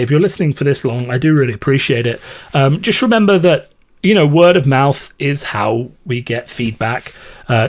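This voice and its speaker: A man from Ireland, talking casually and unscripted to his subscribers as a podcast host, in a rambling, self-deprecating way. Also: medium pitch. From Ireland, born in London and raised in Limerick from age eight. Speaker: podcast host and content creator.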